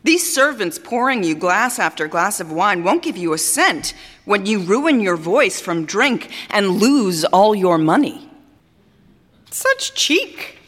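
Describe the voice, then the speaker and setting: Grand, swooping opera diva. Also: female voice, medium pitch, fairly bright tone, heavily acted. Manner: grand, theatrical, deliberate delivery with dramatic pauses. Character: vain, capricious female opera singer, adoring and scornful. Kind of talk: theatrical dramatic monologue before an audience. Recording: reverberant hall stage recording.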